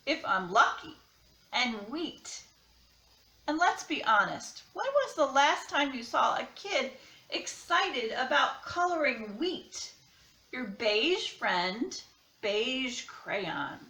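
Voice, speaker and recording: A woman reads as a slighted storybook crayon, proud and resentful. The delivery is slow and indignant, bright in tone, with room echo around it. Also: sits high, heavily acted. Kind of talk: children's picture-book read-aloud. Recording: roomy, echoing sound.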